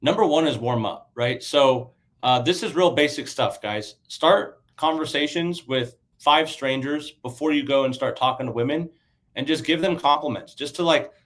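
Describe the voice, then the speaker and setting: A stuffy, slightly raspy man talks casually as a dating coach. His voice sits at an ordinary middle pitch, and he keeps it loose and chatty, tossing in "right?" and "bro" as he goes. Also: normal speed, fairly bright tone. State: stuffy and raspy from a sinus infection. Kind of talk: casual livestream coaching talk.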